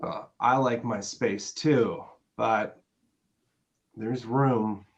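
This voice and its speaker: A man playing an affectionate, gently pressing boyfriend in a naturalistic script reading, conversational and a little hesitant. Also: lowish voice, fairly even intonation, extremely slow.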